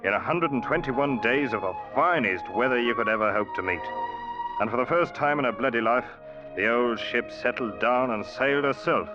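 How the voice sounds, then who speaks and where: A man's voice: medium pitch, moderate pace, natural voice. An actor playing an eager young third mate who also tells his sea tale, in an old-time radio drama style. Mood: wistful, dramatic. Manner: expressive, dramatic storytelling. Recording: muffled old radio recording, loud music.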